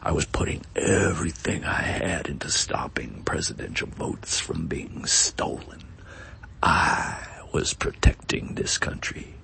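A man's voice: rumbling low, lively intonation, measured pace, vocal fry, pushed and bright, slight background noise, exaggerated voice. A man voicing an evasive, self-righteous woman politician testifying in a dramatic reading, hesitant and sanctimonious.

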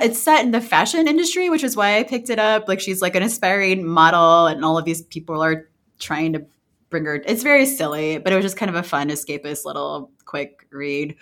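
A woman's voice: lowish voice, fairly bright tone. A woman giving recommendations as a podcast co-host in an informal, enthusiastic way. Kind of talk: casual informal chat.

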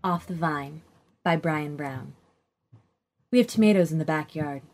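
A woman's voice: low-pitched, a little slow, darkish tone. A woman reading a first-person literary short story aloud.

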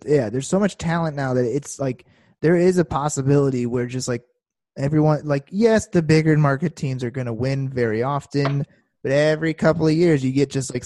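Mellow, dry-voiced joking podcast guy. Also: mid-range voice, no room sound. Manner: jokey, excitable, profane. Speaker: podcast co-host. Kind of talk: casual sports chat.

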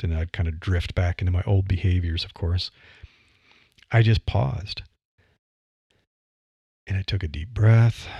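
A man's voice: on the low side, creaky, a little mellow, dry recording, highs cut off. A middle-aged man with a Midwestern accent, a podcaster talking casually about voice delivery with frequent "you know" fillers. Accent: Midwestern American. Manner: conversational, many "you know" fillers, light laughs. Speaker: podcaster and writer. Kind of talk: reflective monologue on voice delivery.

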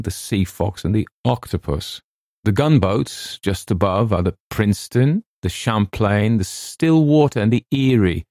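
A man reading as an eager young soldier briskly pointing things out. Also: fairly low pitch, darkish tone, no room sound, studio quality, pitched above the performer's own voice. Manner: brisk, explanatory listing.

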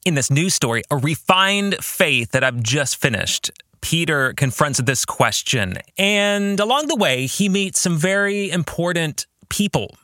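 A man with a slightly high, very expressive voice speaks as an author hosting his own podcast. His delivery is earnest, with deliberate stress on key words. The sound is dry and close, with no room around it. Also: dry recording. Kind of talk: personal podcast talk about own writing.

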